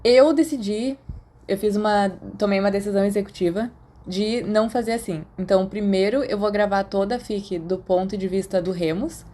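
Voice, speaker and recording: A woman's voice: medium pitch, normal speed, mellow. A Brazilian woman speaking as the narrator of a fan fiction podcast. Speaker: podfic narrator. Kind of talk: expressive audiobook narration and casual chat to listeners.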